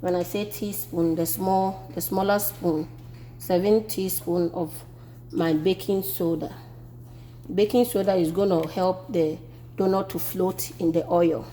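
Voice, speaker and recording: A woman with a Ghanaian accent demonstrating a home recipe in her kitchen, relaxed and repeating herself as she works. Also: on the low side, unhurried, a little hiss or hum. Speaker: home cooking vlogger. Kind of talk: casual cooking demonstration.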